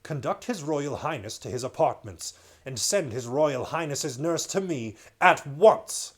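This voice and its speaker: Man voicing a stern storybook king, booming and threatening, loud. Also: expressive, a little slow, breathy edge. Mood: cold. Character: stern, menacing middle-aged male king.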